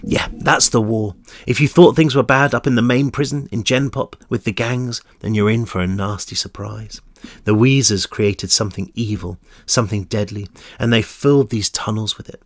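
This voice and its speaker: A man with a croaky voice reading the part of a young male prisoner who speaks in low, urgent warnings. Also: normal speed, no room sound. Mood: hushed warning. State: croaky, losing his voice.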